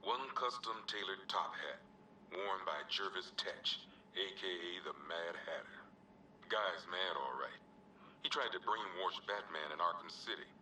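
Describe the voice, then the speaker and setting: An actor playing a gruff, world-weary American police officer, logging evidence for a video game in a terse, streetwise way with dry, wry asides. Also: male voice, sits low, measured pace, bright, forceful tone, recorded from a distance, a little hiss or hum, heavily acted, low-quality recording. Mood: dry, matter-of-fact. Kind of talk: scripted video game evidence log narration.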